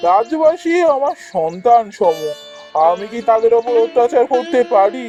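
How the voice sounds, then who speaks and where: A man's voice: very high-pitched, big pitch swings, moderate pace, dark tone, lo-fi sound. A man playing a tyrannical, self-justifying king in a cartoon fairy tale, pompous and formal. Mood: falsely benevolent. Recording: faint music behind the voice.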